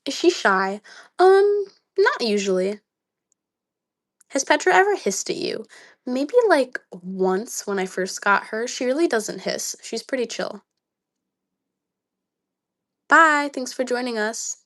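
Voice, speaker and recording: A woman's voice: on the high side, animated, slow pace, long pauses, fairly bright tone. A young woman with a slightly dry voice, chatting casually on a livestream, reading comments aloud with lots of ums and likes. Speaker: livestream host.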